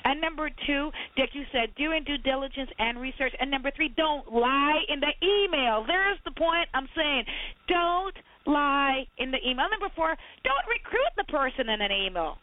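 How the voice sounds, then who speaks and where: A woman from Barbados with a high, bright voice, arguing her case with punchy emphasis and talking over her co-host. She comes through a narrow, tinny phone line. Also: moderate pace, dry recording. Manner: emphatic, animated, talks over others. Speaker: podcast co-host, resume writer. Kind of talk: heated casual debate. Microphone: phone call.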